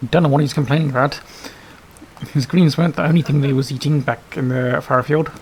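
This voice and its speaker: A man's voice: a little high, fairly even intonation, fast, a little mellow, slight background noise. A man giving casual gameplay commentary, muttering to himself as he plays. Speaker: gamer recording a playthrough.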